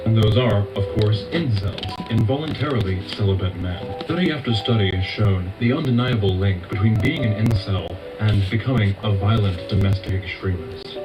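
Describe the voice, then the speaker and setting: A man with a lowish voice delivers scripted commentary in a smug, measured way. He sounds set back from the mic, with loud music underneath. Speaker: commentator in a played clip. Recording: distant clip with loud music.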